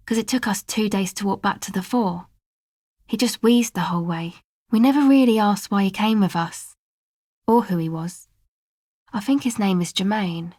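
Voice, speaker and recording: A woman's voice: mid-range voice, a little mellow, breathy edge, no echo, close to an everyday voice. A woman voicing a laid-back young woman from a street rave crew in a video game, talking casually in a dry, hesitant, slangy way. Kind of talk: video game character dialogue.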